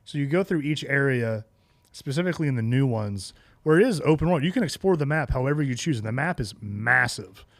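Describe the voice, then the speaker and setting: A man's voice: medium pitch, expressive, a little fast, no room sound. Casual, blunt man with a dry close sound. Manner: blunt, slangy. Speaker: podcast co-host. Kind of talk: casual chat.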